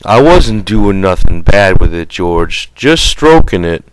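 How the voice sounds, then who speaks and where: A man's voice: low-pitched, big pitch swings, takes it slowly, clear, resonant tone, no echo, heavily acted, low-quality recording. A man voicing a big, simple-minded, childlike man with a timid, naive, whimpering way of talking in an audiobook. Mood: defensive pleading.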